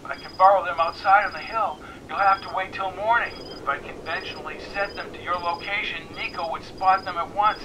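A man voicing an anxious, cautious woman in an audiobook, speaking softly and earnestly. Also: sits high, steady tone, brisk, hardly any pauses, background noise, voice pushed up, lightly acted. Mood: worried.

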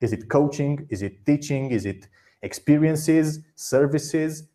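A man hosting an interview, giving direct, encouraging advice in quick bursts, often repeating words as he talks. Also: on the high side, mellow. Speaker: podcast host.

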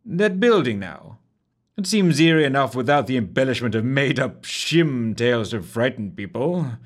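A man voicing a cheerful, curious gentleman writer with a polished, genteel manner. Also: medium pitch, very animated, moderate pace, voice pushed up. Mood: eager.

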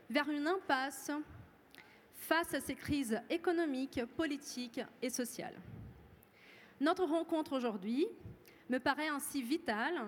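A woman moderating a public debate, a native Portuguese speaker who reads her prepared remarks in a measured way. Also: sits high. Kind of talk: formal event moderation. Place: lecture hall with audience. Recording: reverberant hall, faint room noise.

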